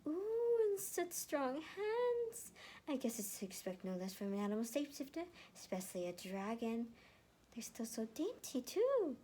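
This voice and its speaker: A woman voicing an excitable, sweet mother who gushes and coos in a fan fiction reading. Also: high-pitched, unhurried, slightly rough, voice pushed up, full character voice. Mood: admiring delight. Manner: gushing, cooing. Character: gushing, excitable shapeshifter mother, sweet and cooing.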